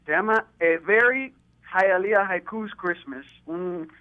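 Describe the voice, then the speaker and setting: A man's voice: sits high, slow. A Cuban American man chatting casually and jokily as an interview guest. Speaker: interview guest, event performer. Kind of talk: casual, joking chat. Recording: dull lo-fi radio-like sound.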